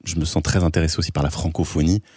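A man's voice: very deep voice, flat delivery, rapid-fire, darkish tone, husky, dry recording, clean studio sound. A man, a radio co-host, chatting in an interview and rambling with frequent restarts and fillers. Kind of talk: casual interview chat.

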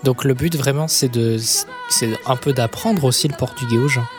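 A man's voice: mid-range voice, moderate pace, music in the background. A man, a radio interviewer, asking questions in a friendly, informal way.

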